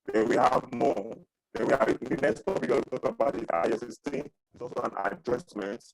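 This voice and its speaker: A man in his thirties teaching an accounting class over a video call, repeating himself and pausing often. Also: mid-range voice, lively intonation, vocal fry, darkish tone, dry recording, low-quality recording. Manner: repetitive, halting, asks for questions. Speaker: accounting lecturer. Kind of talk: online class lecture. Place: small indoor room. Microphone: webcam video call with earphones.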